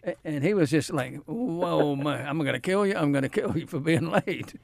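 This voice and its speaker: A man's voice: on the high side, animated, moderate pace, gravelly, dry recording. Folksy man hosting radio, dry studio sound. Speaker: outdoor radio show host. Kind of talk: casual live radio chat.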